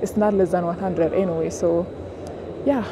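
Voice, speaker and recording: A woman's voice: on the low side, steady tone, moderate pace. A young woman with an Ethiopian accent, chatting casually to camera as a travel vlogger. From Addis Ababa, Ethiopia. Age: young adult. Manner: informal, addresses viewers as guys. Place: busy airport, tarmac and plane cabin. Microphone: clip-on mic, selfie camera at arm's length. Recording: noisy airport and plane cabin ambience.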